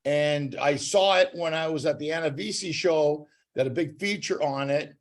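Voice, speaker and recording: A Canadian-accented man co-hosts a casual podcast in a fairly high voice. He cracks jokes and rambles, restarting his sentences as he goes. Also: moderate pace. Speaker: podcast co-host. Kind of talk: casual joking chat.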